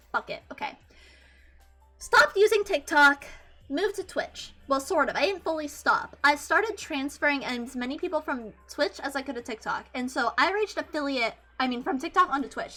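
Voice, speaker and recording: A woman in her twenties with a high-pitched voice, chatting casually on a livestream and swearing freely, rambling with many restarts. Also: sharp tone. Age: 20s. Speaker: VTuber streamer.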